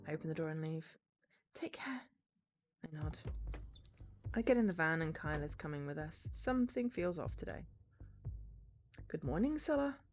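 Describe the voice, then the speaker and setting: A woman's voice: sits low, expressive, brisk, darkish tone, faint music behind. A woman streamer reading a visual novel aloud, narrating and acting out the dialogue. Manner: acts out dialogue between narration. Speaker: game streamer.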